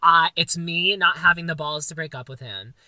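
A young man with a very high voice, co-hosting a casual chat and joking in a campy, playfully dramatic way. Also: moderate pace, sharp tone, no echo. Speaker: podcast co-host.

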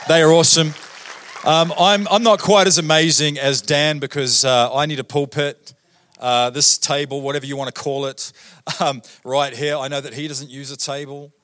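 A man's voice: fairly high pitch, fairly even intonation, moderate pace, fairly bright tone. A man in his thirties with a New Zealand accent, preaching as a guest pastor in a church, chatty and joking with many fillers and "right?" tags. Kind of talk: sermon. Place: church auditorium.

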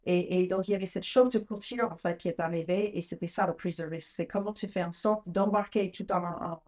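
A woman's voice: fairly low pitch, steady tone, a little fast, darkish tone. A woman in her forties with an American accent, a brand founder talking in a casual interview, chatty with frequent restarts. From United States.

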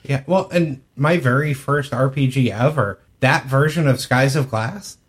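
A man's voice: medium pitch, a little slow. A man co-hosting a podcast, talking casually about tabletop role-playing games. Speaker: podcast co-host.

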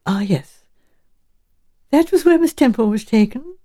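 A woman's voice: fairly high pitch, lively intonation, measured pace, mellow. A woman voicing an elderly English lady sleuth in an audiobook, fluttery and polite, speaking in a hesitant, genteel, musing way. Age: older.

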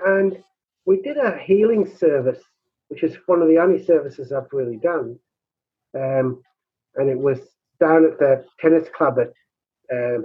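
A man who works as a rural minister, talking in an interview with a high, squeaky voice, halting and restarting often. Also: husky, phone-quality sound. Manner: halting, many restarts and repeated words. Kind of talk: conversational interview.

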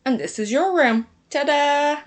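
Woman acting an excitable, breezy Irish sister. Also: medium pitch, normal speed, lightly acted. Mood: proud.

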